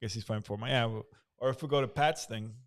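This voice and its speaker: A man co-hosting a casual studio chat, quick with asides and laughing often. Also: mid-range voice. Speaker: co-host.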